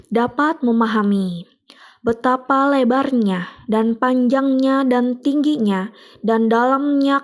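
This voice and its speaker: Young indonesian woman doing a chatty little devotional, asks the listener stuff, laughs a lot. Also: fairly high pitch. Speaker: devotional speaker. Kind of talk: casual spoken devotional.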